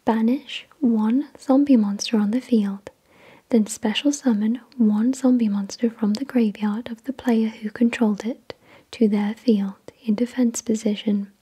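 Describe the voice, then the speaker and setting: A woman whispering softly and breathily in ASMR style, reading aloud in a deliberate, measured way. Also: on the high side, steady tone, breathy edge. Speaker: ASMR video creator and host. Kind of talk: soft-spoken ASMR, reading card text aloud.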